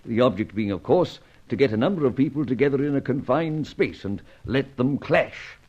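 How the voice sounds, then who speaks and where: A genial spy chief with an urbane, old-fashioned upper-class way of talking. His mid-pitched voice moves up and down freely in classic radio serial style, on a dull, lo-fi recording. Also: expressive, normal speed, a little mellow, husky. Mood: genial, reminiscing.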